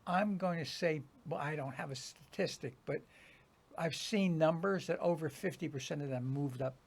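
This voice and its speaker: An older man born in New Jersey, hosting a relaxed business interview in a friendly way, chuckling and restarting his questions. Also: on the high side, moderate pace, vocal fry, husky. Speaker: show host. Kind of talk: casual interview chat.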